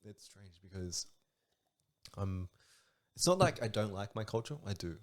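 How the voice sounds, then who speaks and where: A man's voice: on the low side, sing-song, unhurried. A man, a podcast guest chatting easily, laughing often and poking fun at himself. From Australia. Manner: easygoing, laughs often, a bit self-mocking. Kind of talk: casual chat.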